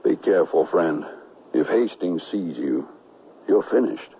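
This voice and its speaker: Deep-voiced loyal gentleman, measured, grave, radio drama narration, phone-like narrow recording. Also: lively intonation, slight background noise. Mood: concerned. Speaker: narrator and character. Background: loud dramatic music.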